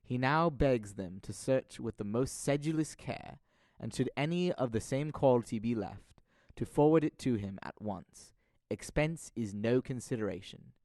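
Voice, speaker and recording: A young man narrating a literary audio drama in a deliberate storytelling manner. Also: mid-range voice, a little mellow, no echo. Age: young adult.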